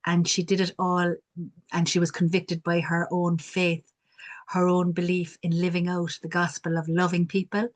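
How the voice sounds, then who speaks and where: A woman's voice: lowish voice, completely flat delivery, moderate pace. A woman from Cork with a strong Cork Irish accent, telling reflective stories on a video call panel. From Cork, Ireland. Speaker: community development worker. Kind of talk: online panel talk.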